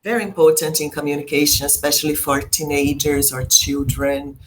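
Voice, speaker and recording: A woman's voice: sits low, little pitch movement, slight background noise, low-quality recording. A woman from Brazil, a Portuguese speaker, chatting patiently as a language teacher on a video call. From Brazil, Rio de Janeiro. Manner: slow, patient, laughs often. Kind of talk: casual discussion, teaching words.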